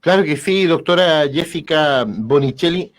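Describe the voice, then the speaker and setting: A man's voice: on the high side, measured pace. A man hosting an interview, courteous, often repeating words and stammering as he asks questions. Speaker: radio show host.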